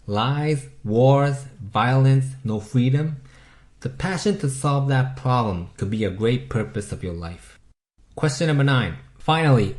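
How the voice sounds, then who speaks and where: A man speaking English with a Japanese accent, reading a motivational script at a middle pitch. He paces himself in a measured, teacherly way and keeps turning to the listener with questions. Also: a little mellow. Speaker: self-help video host.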